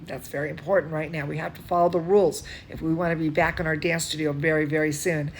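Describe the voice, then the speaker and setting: A woman telling bedtime stories and chatting cozily to young children in an expressive, soothing, singsong manner. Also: deep voice, fast talker, slight background noise. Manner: chats playfully to a pet or companion. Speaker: dance studio teacher reading bedtime stories to children. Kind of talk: children's bedtime storytelling and cozy chat.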